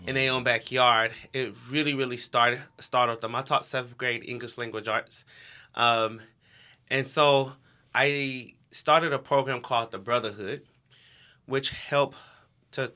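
Bright-voiced young American man, casual. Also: mid-range voice, fairly even intonation, unhurried. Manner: informal, heavy use of "like". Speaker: interview guest, education nonprofit co-founder. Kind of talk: casual conversational interview.

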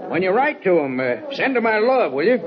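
An actor playing a jovial but evasive saloon boss in an old radio drama. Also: male voice, high voice, very animated, a little fast, a crowd in the background. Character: slick, shifty saloon boss, middle-aged man. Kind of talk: 1940s radio acting. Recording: dull old radio recording with faint hiss.

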